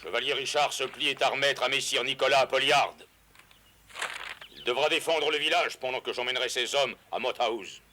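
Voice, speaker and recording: An actor playing a gruff, bossy medieval soldier in an animated film dub, barking out commands. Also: male voice, medium pitch, normal speed, slight background noise, natural voice. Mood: proclaiming.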